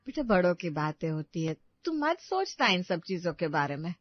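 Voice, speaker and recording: A woman's voice: on the low side, very animated, normal speed, no echo. A loving but stern old grandmother, as a woman storyteller puts her on, soothing one moment and scolding the next. Her voice comes close and dry, without room sound, and a little dull on the top end. It is a storybook narration. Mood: tender. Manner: pleading, scolding when angry.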